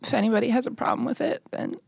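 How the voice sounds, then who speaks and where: Creaky-voiced woman, close and dry. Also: fairly high pitch, steady tone, racing, darkish tone, no echo. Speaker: interview guest. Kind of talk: casual personal recollection. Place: studio.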